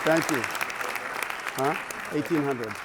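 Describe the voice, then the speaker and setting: A man's voice: fairly high pitch, slow, heavy background noise. A man emceeing a live fundraising appeal in a synagogue hall, coaxing and playful with the crowd. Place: synagogue hall at an evening event.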